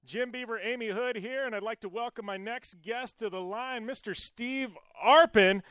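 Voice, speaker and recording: A man's voice: extremely high pitch, normal speed, fairly bright tone, no echo, studio quality. A man hosting a radio show, chatting casually with a guest, laughing often and restarting his sentences as he rambles.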